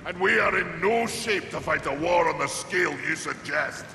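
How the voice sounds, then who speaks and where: Gravelly giant, echoing. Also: male voice, fairly high pitch, moderate pace, pushed and bright. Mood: gruff, weary. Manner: gruff, hearty, theatrical. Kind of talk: dramatic video game cutscene acting. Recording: echoey hall, clear background noise.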